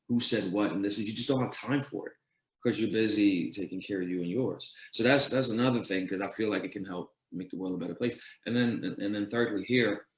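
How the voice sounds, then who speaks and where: A man from Denmark, a guest entrepreneur answering town hall questions earnestly, often restarting his sentences and counting off his points. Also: on the low side. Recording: roomy echo.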